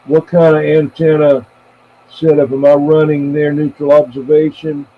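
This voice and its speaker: An American man in his seventies chatting casually on CB radio in a halting, rambling, reminiscing way. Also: a little high, little pitch movement. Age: 70s. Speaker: CB radio operator and live streamer. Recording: tinny band-limited radio audio with faint noise.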